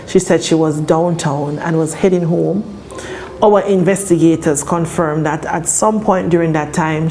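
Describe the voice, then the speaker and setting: A woman's voice: sits low, dark tone. A woman with a Jamaican accent speaking as a police spokesperson, explaining things formally in an interview. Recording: faint music behind the voice.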